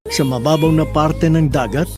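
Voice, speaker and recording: Casting: male radio drama host, Filipino accent, raised pitch, sentimental storytelling over a music bed. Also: steady tone, moderate pace. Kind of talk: dramatic scripted narration, letter reading. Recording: radio broadcast with music underneath.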